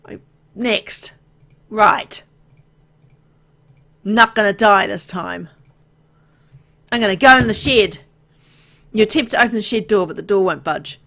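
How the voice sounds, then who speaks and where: A woman's voice: medium pitch, unhurried, long pauses, sharp tone. A woman reading a video game's story aloud, mixed with casual, playful asides as she plays. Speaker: video game let's player.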